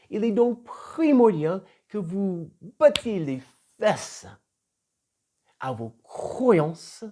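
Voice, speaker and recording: Middle-aged American man, English trainer, emphatic motivational teacher, warm and mellow tone, very lively pitch. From United States (Florida). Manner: animated and emphatic, swings between loud punches and soft drops. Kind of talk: motivational video lesson.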